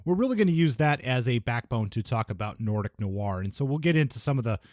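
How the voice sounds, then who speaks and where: A man's voice: medium pitch, expressive, fast talker, a little mellow, no echo, clean studio sound. A man hosting a podcast in a casual, conversational style, addressing the listeners. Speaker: podcast host.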